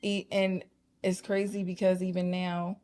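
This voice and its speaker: A woman's voice: lowish voice, level tone, unhurried, warm tone. Woman guest, first language Patois, candid, reflective, conversational. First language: Jamaican Patois. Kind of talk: casual talk-show conversation.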